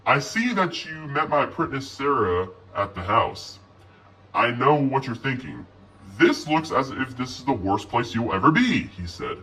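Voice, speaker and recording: A man's voice: mid-range voice, big pitch swings, unhurried, bright, forceful tone, recorded from a distance, pitched above the performer's own voice, rough recording. A man voicing a sinister, gloating old man in a horror story. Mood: gloating, cheerful menace.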